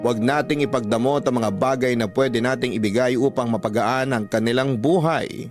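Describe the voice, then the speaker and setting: A Filipino man hosting a radio story program, narrating scripted drama in a measured, deliberate way with drawn-out phrase endings. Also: medium pitch. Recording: dry studio voice over clear background music. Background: background music.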